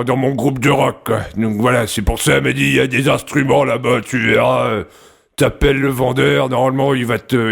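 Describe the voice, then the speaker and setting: A man's voice: medium pitch, vocal fry. A man playing an earnest, rambling construction worker who wants to start a rock band, in a prank phone call. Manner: rambling, hesitant, pestering. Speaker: prank caller.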